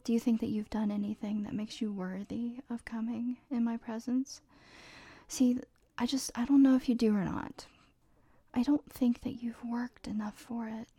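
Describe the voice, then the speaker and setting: A young woman whispers close to the mic in a breathy, mellow voice. She talks very slowly, teasing and giving orders with little laughs in between. The sound is dry and intimate, with no room around it. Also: on the high side, steady tone, dry recording. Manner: mostly whispered; teasing and commanding, with soft laughs. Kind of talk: whispered audio roleplay.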